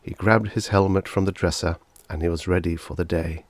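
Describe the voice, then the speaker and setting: Low-voiced man reading a story. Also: fairly even intonation, brisk, no room sound. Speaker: audiobook narrator. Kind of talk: scripted fiction narration.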